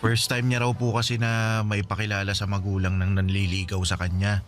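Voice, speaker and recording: A man's voice: lowish voice, flat delivery, fairly quick, slight background noise, natural voice. A man playing a devoted young adult son in a Filipino radio drama, speaking politely to his mother. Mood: fond, earnest.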